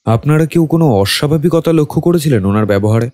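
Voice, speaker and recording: A man playing a brisk, authoritative police detective in an audio drama, curt and guarded in his questioning. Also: a little high, expressive, mellow, close to an everyday voice. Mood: probing. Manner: curt, questioning, mixes in English phrases. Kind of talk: acted dialogue with background music.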